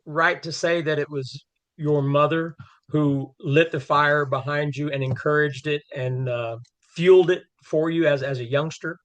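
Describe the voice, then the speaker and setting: A man hosting an interview over a video call, friendly and halting, with many fillers. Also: a little high, steady tone. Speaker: interview host.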